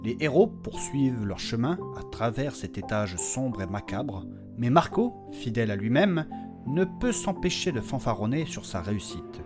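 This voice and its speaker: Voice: mid-range voice, very animated, moderate pace. A narrator delivering dramatic scripted story narration in a solemn, theatrical manner. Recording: voice over prominent music. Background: music.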